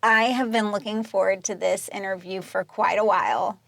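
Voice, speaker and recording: A woman's voice: mid-range voice, steady tone, normal speed, creaky, fairly bright tone, no room sound. A woman hosting a casual podcast interview, asking questions and reacting in short admiring phrases.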